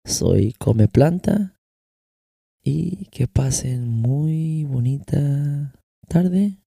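Slow gravelly Mexican man, dark voice. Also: a little high, lively intonation, dry recording, studio quality. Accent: Mexican Spanish with American English. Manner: loose, slangy, switching between Spanish and English. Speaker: podcast host. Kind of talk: casual solo podcast monologue. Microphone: close podcast microphone.

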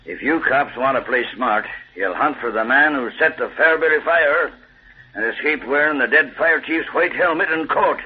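An actor in an old radio drama playing a gruff, irritable police sergeant. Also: male voice, medium pitch, moderate pace, lightly acted. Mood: reading, startled. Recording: tinny, hissy vintage radio recording.

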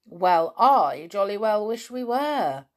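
A woman voicing a bold, adventurous tomboyish girl who calls out loudly and eagerly. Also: mid-range voice, sing-song, slow, pitched above the performer's own voice. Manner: loud, eager calling.

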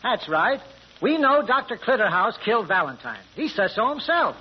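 A man's voice: high voice, very animated, moderate pace. An actor playing a baffled, plain-spoken jury foreman, folksy and deferential, in a comic old radio drama. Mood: baffled, apologetic. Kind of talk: comic 1930s-40s radio drama acting. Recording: muffled, faintly noisy old radio recording.

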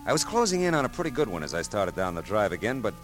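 Wry low-voiced private eye, swinging delivery. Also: male voice, fast, faint music behind. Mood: brooding narration. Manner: deadpan, hesitant asides, dry wit. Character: wry, world-weary male private detective. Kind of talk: classic radio detective drama with first-person narration.

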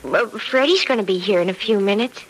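A woman's voice: medium pitch, brisk, lightly acted. Earnest, girlish young wife, played by an actress. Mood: eager, gentle. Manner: gentle, eager, coaxing. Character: earnest, girlish young expectant mother visiting friend. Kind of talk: 1940s radio drama acting. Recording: noisy vintage radio recording.

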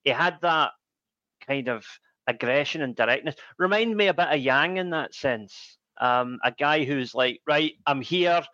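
A man's voice: a little high, animated, sharp tone, no echo. Man, dry dull mic. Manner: measured, filler-heavy with frequent ums. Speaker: podcast co-host. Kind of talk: casual football chat.

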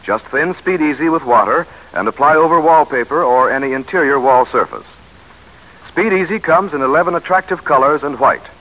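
A man's voice: fairly high pitch, brisk, fairly bright tone, rough recording. A man delivering a polished 1940s radio advertisement as the sponsor's spokesman. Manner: polished, salesmanlike.

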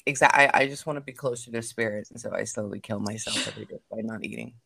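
Gravelly, sleepy-voiced woman guest. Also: bright, forceful tone. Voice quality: low sleepy voice. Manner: bubbly and jokey, quick asides. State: just woke up, sleepy. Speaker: podcast guest, psychic medium. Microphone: remote podcast recording.

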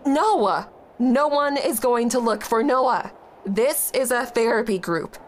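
A woman's voice: on the high side, lively intonation, slow, a little hiss or hum. An actress playing a young woman in a grief support group, evasive at first and then blunt and exasperated. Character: young woman, guarded and impatient. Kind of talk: audio drama acting.

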